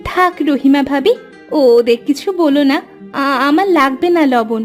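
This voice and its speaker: A woman's voice: sits high, moderate pace, close to an everyday voice. A woman voicing a polite village neighbour in a cartoon, friendly and deferential, with music behind. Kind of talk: cartoon character acting.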